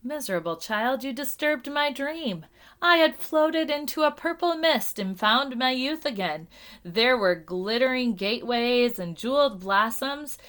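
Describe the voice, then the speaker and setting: A woman's voice: sits high, lively intonation, a little slow, fairly bright tone. A woman voicing a sleepy, grumpy old man who grumbles dreamily. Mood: grumpy, dreamy.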